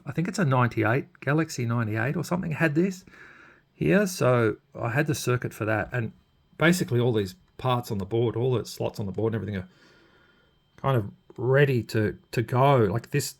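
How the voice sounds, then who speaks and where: A man giving a casual, unscripted hands-on walkthrough, haltingly thinking aloud as he points things out. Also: mid-range voice, a little mellow. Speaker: presenter showing his radio modifications. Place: indoors at an electronics workbench.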